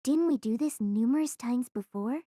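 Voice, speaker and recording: A woman's voice: on the high side, moderate pace, dark tone, dry recording, clean studio sound, voice pushed up, lightly acted. A woman voicing a chatty, carefree teenage schoolgirl with a teasing, breezy manner.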